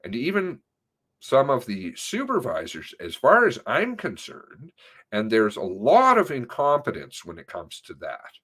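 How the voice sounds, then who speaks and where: A man reads conversational testimony aloud at an unhurried pace. His pitch sits in a middle range but moves widely, and he leans on certain words to stress them. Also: wildly swooping pitch, fairly bright tone. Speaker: narrator reading an interview transcript aloud. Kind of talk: read-aloud of spoken interview transcript with commentary.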